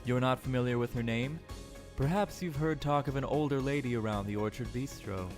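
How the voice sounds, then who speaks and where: A formal, pressing prosecutor acted by a man in a courtroom audio drama. His mid-range voice is measured and challenging, with quiet music underneath. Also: mid-range voice, close to an everyday voice.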